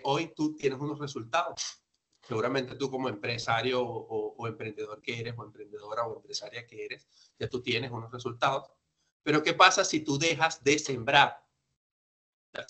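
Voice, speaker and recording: A man's voice: medium pitch, sharp tone. A man working as a sales trainer, presenting informally and instructively, emphatic and conversational, often asking and answering his own questions. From grew up outside Peru, now lives there.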